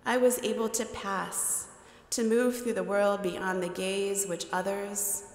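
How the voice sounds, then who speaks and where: A middle-aged woman preaching a sermon from a pulpit on a headset mic, with a measured, deliberate cadence. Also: mid-range voice, fairly even intonation. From United States. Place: large church sanctuary. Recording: echoey church hall with long reverb.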